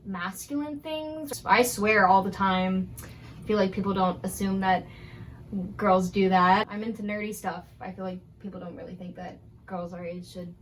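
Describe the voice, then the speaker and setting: A woman's voice: medium pitch, steady tone, normal speed, poor audio. Give me a joking, sarcastic young woman with a little background noise. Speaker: interviewee.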